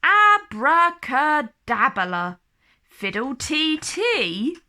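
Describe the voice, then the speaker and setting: Young storybook fairy girl, played by a woman: eager, clumsy, exclamatory, high-pitched, very animated. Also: very slow, full character voice. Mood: chanting, hopeful.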